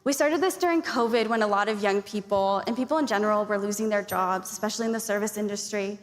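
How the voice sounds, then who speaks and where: A woman's voice: mid-range voice, fast. A young woman from Arizona speaking on a conference panel, earnest and chatty, with lots of fillers and thanks. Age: young adult. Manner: earnest, grateful, chatty with many likes and fillers. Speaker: youth panelist. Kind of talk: conference speech. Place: large conference hall. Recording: long hall reverb.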